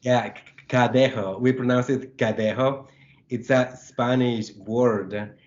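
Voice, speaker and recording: A man's voice: medium pitch, steady tone, slow pace, darkish tone. A man from El Salvador, a guest on an interview show, talking about his teaching in a friendly, articulate and enthusiastic way. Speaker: guest, computer science instructor. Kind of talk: casual interview. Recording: dull lo-fi audio.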